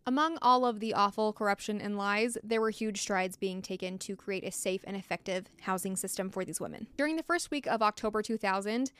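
A woman's voice: medium pitch, fairly quick, hardly any pauses, a bit bright, smooth. An American woman hosting a podcast, narrating a true story with blunt, opinionated asides from a close mic. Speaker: podcast host.

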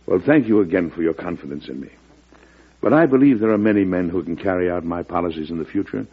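A weary, earnest president in a classic radio play. His low voice is husky and moves expressively through formal, measured lines, on a muffled vintage recording. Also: expressive, heavily acted. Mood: firm, resolute. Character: weary, earnest American president of early 1800s. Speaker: actor. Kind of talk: old-time radio drama acting.

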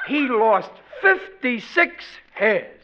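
A man's voice: extremely high pitch, big pitch swings, very slow, exaggerated voice. An actor playing a blustering, stubborn middle-aged American father in a 1940s radio sitcom, with emphatic, uneducated diction. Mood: eager, pleased. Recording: dull, noisy old radio recording.

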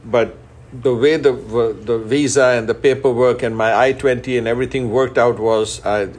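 A man's voice: medium pitch, fairly even intonation, moderate pace, a little mellow, slight background noise. A middle-aged man from Pakistan and India, a chef and restaurant owner being interviewed, who talks with enthusiasm and repeats words as he lists things. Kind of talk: interview guest.

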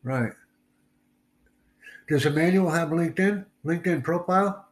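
A man's voice: fairly high pitch, normal speed. Upbeat man teaching on a live stream. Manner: upbeat, repetitive, slips into simple Spanish greetings. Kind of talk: casual live-stream chat.

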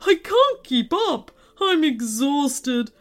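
A woman plays a huge, blustering alien villain from a children's storybook, melodramatic and quick to wail. The voice is high and swoops widely, drawing words out slowly, with music playing behind it. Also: big pitch swings, exaggerated voice. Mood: tearful, exhausted. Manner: wailing, bellowing, melodramatic. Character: giant red alien villain, oversized, male, blustering and weepy.

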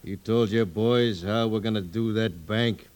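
Low, needling outlaw played by a man. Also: measured pace, a little mellow, close to an everyday voice. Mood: suspicious. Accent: American. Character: Jesse James, suspicious, demanding, menacing outlaw. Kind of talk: old-time radio western acting. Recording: slightly noisy old radio recording.

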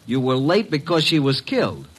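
An actor playing a brisk, wisecracking detective's assistant, wry and emphatic, in a 1940s radio drama with narration. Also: male voice, medium pitch, sing-song, fairly bright tone, husky. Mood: exasperated, urgent. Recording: old radio recording with background hiss.

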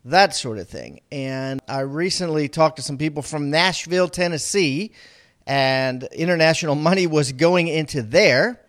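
A man hosts a podcast interview, talking in a loose, conversational way padded with fillers. His voice sits a little higher than most men's. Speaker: podcast host.

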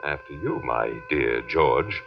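A man's voice: a bass voice, lively intonation, takes it slowly, vocal fry, over music, full character voice. A man playing a wry, resigned academic in a vintage radio drama, lecturing drily with the odd stammer. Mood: sardonic. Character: wry, resigned male academic, captive in alien zoo. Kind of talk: 1950s radio drama acting. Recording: dull old radio recording.

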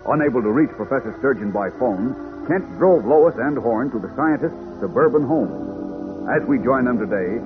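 A man's voice: mid-range voice, animated, normal speed. A man narrating a 1940s radio serial in a formal, dramatic announcer style. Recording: tinny 1940s radio recording with loud music.

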